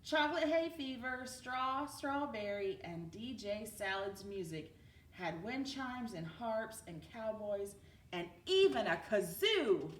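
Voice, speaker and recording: A woman reading a children's storybook aloud like a teacher, with expressive delivery. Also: on the high side, slow pace. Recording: roomy, distant mic with background noise.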